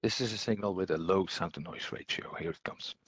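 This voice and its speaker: A man giving an explanatory technical presentation as a webinar trainer, speaking in a hesitant, halting way. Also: deep voice, fairly bright tone, slightly rough, no room sound.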